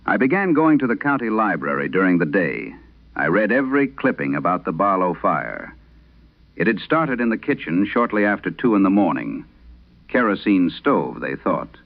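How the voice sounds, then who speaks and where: A man narrating a scripted vintage radio horror tale in the first person, in a grave, measured, gravelly voice. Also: low voice. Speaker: narrator of a horror audio drama. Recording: muffled, band-limited vintage radio sound.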